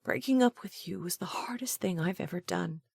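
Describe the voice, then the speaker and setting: A woman voicing a jealous, fiery young woman who hurls sharp, scornful accusations in a raised voice. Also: lively intonation, normal speed, vocal fry, slightly rough, no echo, studio quality, full character voice. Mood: tearful. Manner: sharp, accusing, high-pitched outbursts.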